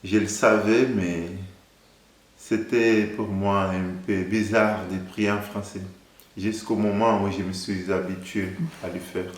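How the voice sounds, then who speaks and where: A man in his thirties from Angola, a Portuguese speaker, calmly and earnestly sharing his faith story in an interview. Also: on the low side, takes it slowly, reverberant. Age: 30s. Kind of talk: personal faith testimony.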